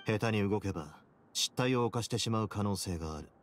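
Man playing a low-voiced, composed game duke. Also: slight background noise, lightly acted. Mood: calm, analytical. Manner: cool, measured, authoritative. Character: composed, shrewd male duke in command of a fortress. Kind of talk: Japanese game voice acting.

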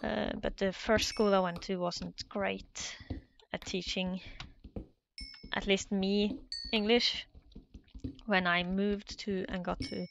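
Norwegian-accented woman, nervous let's-play narrator, unhurried and a bit gravelly, with faint hiss behind her. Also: medium pitch, muffled recording. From Norway. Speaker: Minecraft let's player. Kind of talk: nervous casual gameplay commentary.

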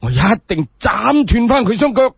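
A wry gatekeeper with a rough past acted by a male storyteller talks in pointed, everyday phrases. The voice is high and lively, with a husky edge. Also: moderate pace, no echo, dull audio, exaggerated voice. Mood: feigned vengeance. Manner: teasing, colloquial, pointed. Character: wry, lame gatekeeper, a former convict.

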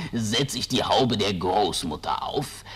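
A man's voice: fairly low pitch, big pitch swings, fairly bright tone, husky, heavily acted. An actor playing a sly, gluttonous wolf in a fairy-tale radio play, savoring his words theatrically. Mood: gleeful, scheming. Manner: slow, savoring, theatrical delivery. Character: gluttonous, sly big bad wolf, gloating. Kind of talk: 1970s German fairy-tale record acting. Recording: noisy old vinyl record.

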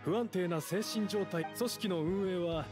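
A man's voice: high voice, unhurried, music in the background. A man voicing a polite, earnest club leader in an anime game, formal and deferential. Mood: earnest, courteous. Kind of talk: Japanese anime game voice acting.

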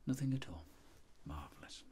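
A middle-aged British man doing an ASMR clinic roleplay, soft, breathy and whispery, with gentle, polite, measured instructions. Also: extremely low pitch, sing-song, slight background noise. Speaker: ASMR creator role-playing a clinic doctor.

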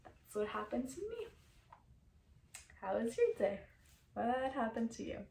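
A woman performing a comedic stage monologue as a frantic, indignant woman, telling her story in an animated, emotional way. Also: a little high, unhurried, gravelly, echoey room, far from the mic, a little hiss or hum, voice pushed up, heavily acted, poor audio. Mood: frantic, rueful. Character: frantic, indignant woman recounting a furious outburst.

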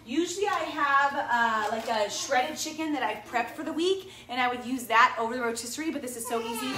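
Woman, 30s, high voice, chatty, self-mocking, cooking vlog, distant camera mic. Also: fairly quick. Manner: chatty, self-mocking, upbeat, talks to viewers as "you guys". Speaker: home cooking vlogger. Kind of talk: casual cooking demo to camera. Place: home kitchen, indoors. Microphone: camera across the room. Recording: echoey kitchen, distant mic, background noise.

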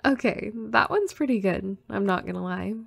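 A woman playing a playful, teasing young girl next door in an intimate, casual roleplay audio, soft-spoken and giggly. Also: medium pitch, animated, moderate pace, gravelly. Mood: teasing, amused.

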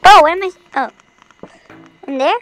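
A game streamer with a high-pitched voice-changer effect, chatting in a goofy, exclamatory way on a livestream. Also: moderate pace, a bit bright, faint music behind, low-quality recording.

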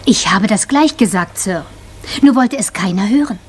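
Breathy, eager young housemaid. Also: female voice, medium pitch, lively intonation, moderate pace. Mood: earnest, dramatic. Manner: deferential, earnest. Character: naive, eager young housemaid, deferential and dramatic. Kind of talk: German radio crime drama acting.

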